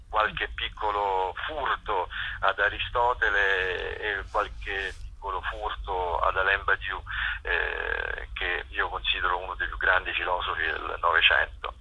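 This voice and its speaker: Male director in interview, husky and very bright-edged, halting, thinks aloud. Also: low-pitched, poor audio. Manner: hesitant, searching for words. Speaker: theatre director and author interviewed about a play. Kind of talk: interview answers, explanatory talk.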